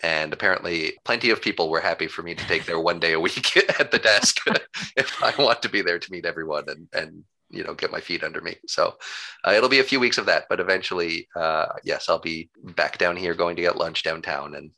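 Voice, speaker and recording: A man with a deep, bright voice and plenty of vocal fry talks as an interview guest. He explains his work at length, in an upbeat and measured conversational way. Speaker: interview guest, town government employee.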